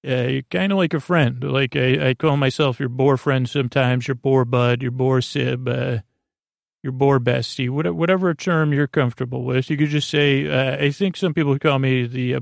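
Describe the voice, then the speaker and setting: Creaky-voiced, rambling man hosting a sleep podcast. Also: mid-range voice, dry recording, clean studio sound. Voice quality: creaky, gruffish voice. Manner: halting, goofy, digressive, trailing off mid-thought. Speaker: host of a bedtime sleep podcast. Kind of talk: rambling, meandering monologue to lull listeners to sleep.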